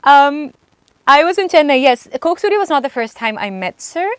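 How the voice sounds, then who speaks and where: A young woman, a singer, telling lively stories in an interview, laughing and acting out what people said. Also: high voice, normal speed, no room sound, clean studio sound. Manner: animated, laughing, acts out quotes. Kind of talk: storytelling in an interview.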